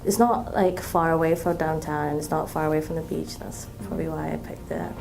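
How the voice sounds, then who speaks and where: A woman in her twenties from Malaysia, a student talking in an interview, relaxed, with many ums and restarts. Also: deep voice, fairly quick, gravelly, rough recording. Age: 20s. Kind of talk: interview testimonial.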